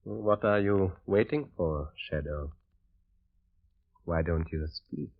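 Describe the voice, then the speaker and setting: An actor playing a cold, cultured villainous doctor in an old radio drama, speaking formally and deliberately with suave menace. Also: male voice, sits low, gravelly, heavily acted. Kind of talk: 1940s radio drama acting. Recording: muffled old-time radio recording.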